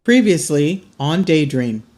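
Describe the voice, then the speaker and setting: A woman narrates an audio drama in a scripted presenting style. Her voice is fairly low, and guitar music plays clearly behind her. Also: lively intonation, slow pace, a little mellow.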